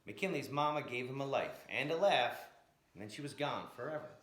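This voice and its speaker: A man's voice: mid-range voice, lively intonation, fairly quick, fairly bright tone. A man reading a picture book aloud in a dramatic, emphatic way, drawing out key words. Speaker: storyteller, blues educator. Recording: echoey room.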